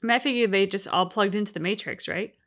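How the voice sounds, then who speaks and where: A woman's voice: mid-range voice, fast talker, a bit bright. A woman co-hosting a reaction video, giving casual commentary on a game.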